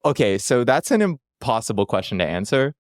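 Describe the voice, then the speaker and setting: A man from Canada, a guest on a casual podcast, rambling with quick self-deprecating jokes. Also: medium pitch, very animated, no echo, studio quality.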